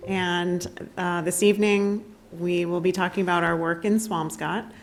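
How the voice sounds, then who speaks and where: A woman senior project manager introducing herself on a panel in a steady, plain way. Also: on the low side, measured pace.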